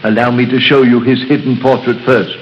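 An actor playing a solemn church elder who tells an old legend slowly and gravely, in a 1930s radio drama. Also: male voice, mid-range voice, little pitch movement, lightly acted. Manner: slow, solemn, measured storytelling. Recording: dull, noisy old radio recording.

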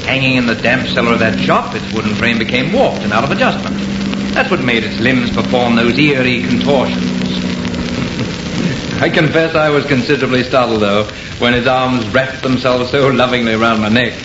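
A noisy, echoey vintage radio recording carries a man playing an assured, enthusiastic artist. His middle-pitched voice is brisk and theatrical, scoffing at any fear as in a horror serial. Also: lightly acted. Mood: amused, scoffing. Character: confident, scoffing male artist, enthusiastic and unafraid. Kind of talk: old-time radio horror acting. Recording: muffled, echoey old radio recording, heavy noise.

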